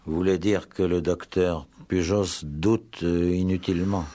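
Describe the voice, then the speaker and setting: An actor in a 1960s French radio play as a courteous, patient police inspector who questions politely but insistently. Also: male voice, low-pitched, fairly even intonation, measured pace, dark tone. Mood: courteous, probing.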